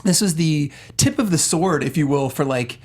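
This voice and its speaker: A man's voice: a little high, expressive, moderate pace. A man co-hosting a casual film discussion show, speaking with emphatic, earnest enthusiasm. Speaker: talk show co-host.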